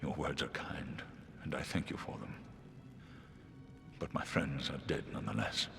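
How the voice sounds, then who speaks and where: An actor voicing a proud, wounded giant eagle in a fantasy game, speaking solemnly in formal, archaic phrasing. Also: male voice, unhurried, music in the background, full character voice. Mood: grave, grieving. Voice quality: very deep, gravelly, breathy. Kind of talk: epic fantasy game voice acting.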